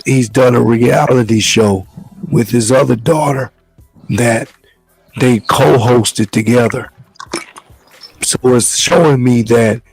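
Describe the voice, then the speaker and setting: A man hosting a casual talk show, hoarse from the flu, speaking in a measured, rambling way. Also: mid-range voice, a little mellow, poor audio. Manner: measured, loose, repeats words as he talks. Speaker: show host.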